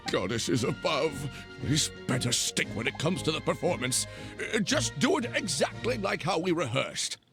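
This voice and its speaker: A creaky, high-pitched fussy coach. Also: male voice, big pitch swings, moderate pace. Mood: exasperated. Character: fussy, dramatic male music coach. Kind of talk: video game character acting. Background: loud background music.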